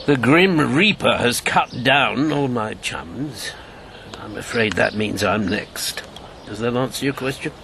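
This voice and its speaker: A man's voice: mid-range voice, lively intonation, slow pace, creaky, a crowd in the background. A man acting in a radio drama as an old former secret agent, jovial and world-weary, with a theatrical, bantering manner. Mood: weary, probing. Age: 70s.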